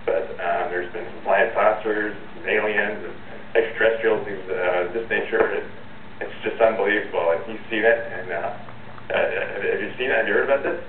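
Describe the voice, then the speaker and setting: A distant, phone-like line carries a man with a deep voice. He is a caller to a talk show, chatting casually and with a folksy manner. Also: steady tone, fairly quick. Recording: tinny phone line, roomy, distant, noisy.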